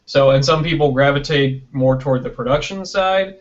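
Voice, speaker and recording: A man's voice: mid-range voice, moderate pace. An American man speaking casually as an interview guest on an online video call, conversational and often checking in with "right?". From Michigan, United States. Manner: conversational, peppers talk with "you know" and "right?", acts out imagined quotes. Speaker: interview guest, film producer and director. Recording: dull lo-fi internet call audio.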